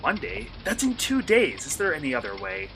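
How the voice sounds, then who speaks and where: A man playing an eager, polite college student and hobby vlogger in a radio play, excitable and earnest. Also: high voice, moderate pace, vocal fry, slight background noise, full character voice. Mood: pleading, earnest. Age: young adult.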